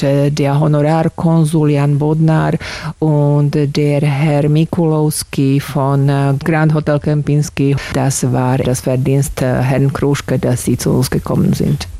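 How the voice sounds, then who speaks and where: A university German lecturer from Slovakia, answering interview questions thoughtfully with hesitant fillers. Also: male voice, fairly high pitch, gravelly, warm tone, dry recording.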